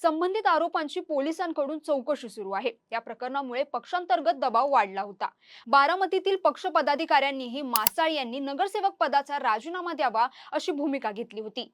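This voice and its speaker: A woman's voice: high voice, fairly bright tone, no echo, clean studio sound. A woman presenting scripted news narration.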